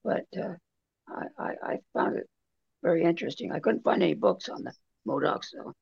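A man talking casually in a book discussion on a video call, halting and rambling as he goes. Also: sits high, expressive, creaky, breathy edge. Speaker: book club member. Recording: dull lo-fi video call audio.

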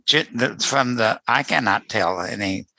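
An older man in a book club chat, musing in a halting way. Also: fairly low pitch, gravelly, sharp tone, no echo. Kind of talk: casual group chat.

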